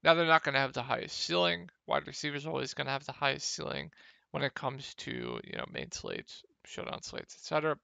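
A young man with a slightly hoarse, gravelly voice, casually talking through fantasy football picks over a screen share, with many ums. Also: medium pitch, brisk, no echo. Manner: relaxed, lots of ums. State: a little hoarse. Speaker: fantasy sports analyst.

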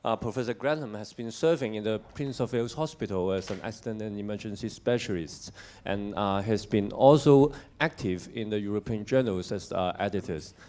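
A man's voice: on the low side, fairly quick, few pauses, darkish tone. A man chairing a seminar, introducing the next speaker with many hesitant fillers into a handheld mic. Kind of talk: formal introduction. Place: seminar room.

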